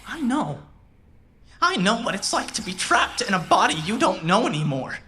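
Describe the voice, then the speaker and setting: Brooding trapped digital mind, noisy backdrop. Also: female voice, medium pitch, big pitch swings, moderate pace, sharp tone. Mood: brooding, lonely. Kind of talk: cyberpunk audio drama acting.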